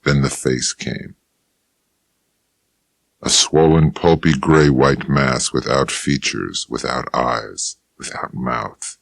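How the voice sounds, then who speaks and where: A man narrates a first-person audiobook with a very deep, low-pitched voice. He reads in a laconic, dry and unhurried way. The studio sound is close and dead, with no room in it at all. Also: steady tone, creaky, dry recording.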